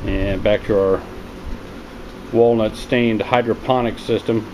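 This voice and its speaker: A man's voice: on the low side, takes it slowly. Man narrating casually over noisy background hum. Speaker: home hydroponics hobbyist narrating a video tour. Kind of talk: casual unscripted walkthrough narration. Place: small basement indoor grow room. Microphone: handheld camera, narrator behind it. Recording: steady loud hum and water noise.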